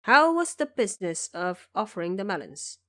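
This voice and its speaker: A woman playing a dignified male emperor with a formal, measured manner in an audio drama. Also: mid-range voice, big pitch swings, bright, forceful tone. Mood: curious. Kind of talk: audio drama character acting.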